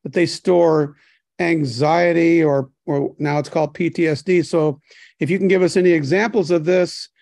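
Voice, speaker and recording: Halting older man on a dry video call. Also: a little high, steady tone, creaky, a little mellow, no echo. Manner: rambling, halting, many fillers. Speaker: podcast co-host. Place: home kitchen. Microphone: webcam on a video call.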